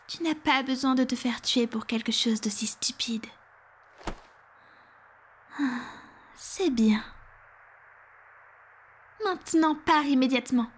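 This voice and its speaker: A woman playing a powerful, protective female vampire in an ASMR roleplay, speaking in a soft, breathy, formal and self-assured way. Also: sits high. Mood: cold, commanding. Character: powerful protective female vampire, commanding yet tender lover.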